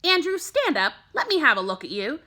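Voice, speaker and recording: A kindly, firm schoolteacher in a storybook, acted out by a woman reading aloud. She coaxes and instructs in measured phrases, with a bright, very animated voice. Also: high-pitched, voice pushed up, heavily acted. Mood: stern, questioning. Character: composed female schoolteacher, kindly but firm.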